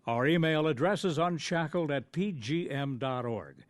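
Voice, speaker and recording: A man's voice: fairly high pitch, animated, moderate pace, no echo. Dry, close male radio announcer.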